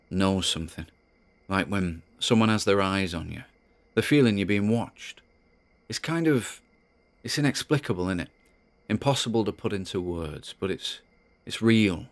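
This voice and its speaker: An actor playing a spooked man who rambles haltingly and nervously as he records private audio logs in an audio drama. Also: deep voice. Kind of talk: dramatic audio-log monologue.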